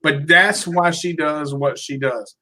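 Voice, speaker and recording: Bright-voiced man telling a story. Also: on the high side, steady tone, slow pace. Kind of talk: casual video call chat.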